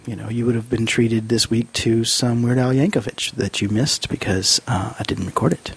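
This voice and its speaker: A man co-hosting a podcast in casual, easygoing and rambling chat, his voice husky from a cold. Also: mid-range voice, steady tone, moderate pace. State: fighting off a cold. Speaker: podcast co-host.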